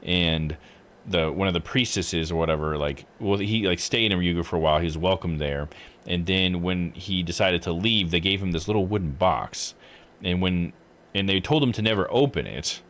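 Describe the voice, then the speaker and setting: Male game streamer, deep voice, casual and rambling, thinking out loud over background music. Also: normal speed.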